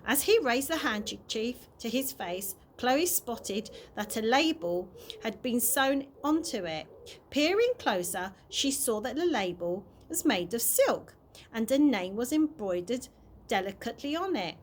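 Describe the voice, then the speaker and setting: A woman's voice: a little high, big pitch swings, a little slow, slight background noise. A woman narrating a children's chapter book aloud as a storyteller. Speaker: early years practitioner reading stories to children.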